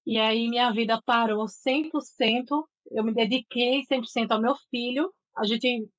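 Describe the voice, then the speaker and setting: Articulate Northeastern Brazilian woman, fairly high voice. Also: steady tone, moderate pace, low-quality recording. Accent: Northeastern Brazilian (Sergipe). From Aracaju, Sergipe, Brazil. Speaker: guest, voice and speech therapist. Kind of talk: interview storytelling.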